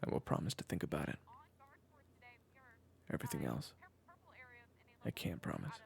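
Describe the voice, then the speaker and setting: A man's voice: extremely low pitch, big pitch swings, moderate pace, vocal fry, full character voice. A man playing a weary, protective family man with a criminal past in an audio drama, both narrating and speaking in a hesitant way. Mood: guarded. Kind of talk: audio roleplay acting with first-person narration.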